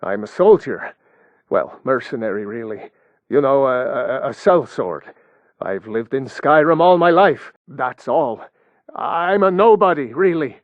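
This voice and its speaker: An actor playing a frightened captive Nord mercenary in a video game, hesitant, stammering and pleading. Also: male voice, medium pitch, lively intonation, measured pace, no echo, dull audio, natural voice. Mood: hesitant, fearful. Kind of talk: video game character dialogue.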